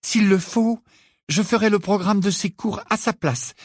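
A man puts on an earnest teenage schoolgirl for a storybook. The pitch is lifted well up, and the delivery is lively, urgent and insistent. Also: moderate pace, no room sound, studio quality. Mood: determined.

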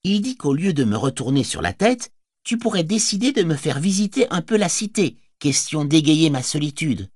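A man's voice: fairly high pitch, very animated, moderate pace, darkish tone, husky, no room sound, studio quality, voice pushed up. A man voicing a bold, cheeky young male hobbit who teases with playful mock boasting, in an audiobook reading. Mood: friendly. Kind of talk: audiobook character voice.

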